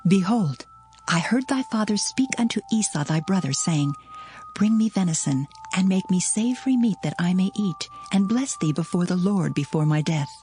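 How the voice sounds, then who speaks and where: An actress as a low-voiced, scheming mother. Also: animated, normal speed, warm tone, soft background music, natural voice. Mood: urgent, commanding. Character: commanding, scheming mother, urgent and resolute. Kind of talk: dramatized Bible audio drama.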